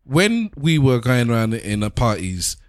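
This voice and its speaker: A man's voice: mid-range voice, a little slow, no echo, clean studio sound. A forty-year-old man, arguing his point emphatically in a casual group chat. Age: 40s. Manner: animated, emphatic, talks over others. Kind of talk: casual debate among friends.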